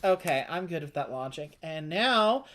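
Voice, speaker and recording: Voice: medium pitch, expressive, normal speed, a bit bright. A co-host of a board game playthrough, talking casually through rules, choices and story.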